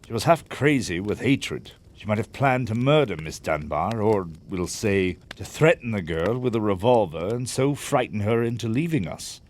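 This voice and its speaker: A man's voice: on the low side, expressive, normal speed, few pauses, a little hiss or hum, close to an everyday voice. A man playing a proud, hot-tempered wealthy businessman in an audio drama, blustering and formal in manner. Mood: confessional. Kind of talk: audio theatre acting.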